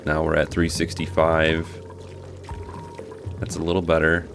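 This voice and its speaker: A hoarse man casually talking through his gameplay as a guide host, thinking aloud as he plays. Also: a bass voice, a little slow. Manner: thinking aloud, relaxed, restarts. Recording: music playing behind.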